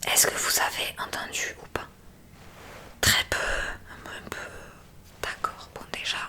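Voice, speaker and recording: A woman speaks in a breathy, bright whisper. She plays an examiner in an ASMR roleplay, talking directly to the listener. Her manner is gentle and attentive as she explains each step. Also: measured pace. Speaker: ASMR creator playing a hearing examiner.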